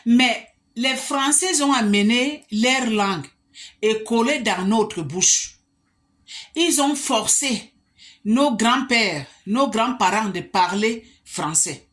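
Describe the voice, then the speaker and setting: A woman's voice: on the high side, slow, fairly bright tone. A woman from Africa, talking straight to the camera as a vlogger and putting emphatic rhetorical questions to the viewer. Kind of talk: monologue to the camera.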